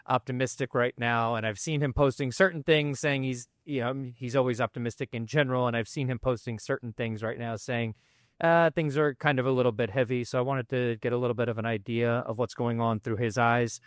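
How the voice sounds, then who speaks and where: Measured male podcast host, dry sound. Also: mid-range voice, steady tone, dry recording. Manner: measured, courteous, slightly halting. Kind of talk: interview introduction and questions. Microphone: video call.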